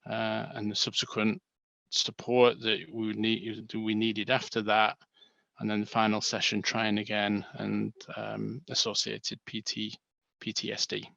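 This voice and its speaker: A man from Manchester, England with a Manchester accent, co-presenting a seminar in a halting way with many fillers and restarts, heard over a video call. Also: lowish voice, monotone, vocal fry, a bit bright, no echo, highs cut off. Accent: Manchester English.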